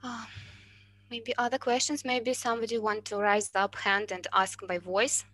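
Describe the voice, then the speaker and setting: A woman in her thirties answering questions in a university webinar, speaking careful, slightly halting English over a video call. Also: fairly high pitch, level tone, fairly bright tone. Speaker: university admissions presenter. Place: indoors with a virtual background. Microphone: headset on a video call.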